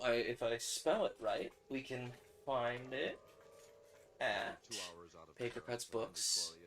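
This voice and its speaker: A man's voice: mid-range voice, bright, forceful tone. A man streaming casually, chatting and thinking aloud as host while he works at a craft.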